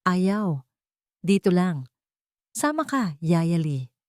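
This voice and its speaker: A woman's voice: fairly low pitch, sing-song, very slow, a little mellow, no room sound, studio quality, exaggerated voice. A woman voicing a frightened, clinging small boy with a small voice in an audio drama story. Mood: tearful refusal.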